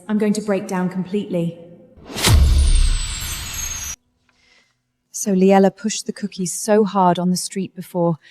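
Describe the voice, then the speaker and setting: A woman voicing a kindly, worried middle-aged mother who speaks gently and earnestly. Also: medium pitch, close to an everyday voice.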